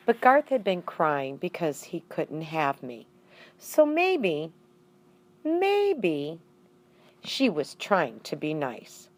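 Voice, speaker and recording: A woman as a curious little pet, slow-spoken. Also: deep voice, full character voice. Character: small pet animal, chatty, curious, good-natured storyteller.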